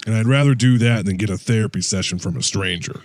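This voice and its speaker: An actor playing a gruff, sardonic, angry superhero in an audio drama, speaking curtly and sarcastically. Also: male voice, fairly low pitch, quick pace, noisy background, lightly acted. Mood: weary bitterness. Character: gruff, sardonic, angry middle-aged male superhero drinking alone.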